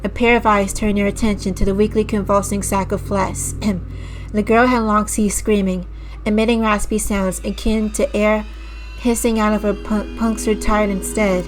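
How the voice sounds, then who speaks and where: A woman streamer reading a visual novel aloud with a slightly raspy voice, restarting over stumbled words, clearing her throat often and breaking off into disgusted asides to her chat. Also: mid-range voice, low-quality recording. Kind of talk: slow dramatic read-aloud with casual asides to chat. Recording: music playing clearly behind the voice.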